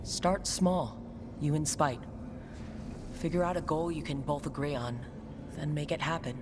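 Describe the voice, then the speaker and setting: An actor playing a calm, supportive fantasy hero in a video game, talking in a measured, earnest way. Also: mid-range voice, close to an everyday voice. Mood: encouraging. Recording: noisy game ambience behind.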